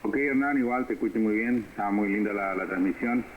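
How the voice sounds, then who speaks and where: A man's voice: fairly low pitch, brisk, narrow, tinny audio. A man, chatting casually as an amateur radio operator. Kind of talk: casual ham radio contact. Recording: noisy shortwave radio reception.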